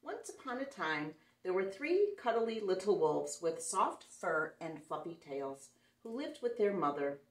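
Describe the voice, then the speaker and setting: A woman's voice: mid-range voice, sing-song, unhurried. A woman reading a picture book aloud to children in a playful, sing-song way, recorded indoors. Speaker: children's story reader. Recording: echoey room, mic some distance away.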